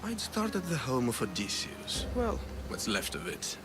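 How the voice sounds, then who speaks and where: An actor playing a sly, smooth-talking scheming patron in a video game, suave, measured and condescending. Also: male voice, medium pitch, wildly swooping pitch, noisy background. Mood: instructing. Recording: long reverb, music underneath.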